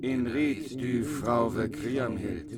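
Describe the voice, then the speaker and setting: A man reciting Middle High German verse in a rhythmic, chanting manner. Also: very slow, a loud crowd. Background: loud music.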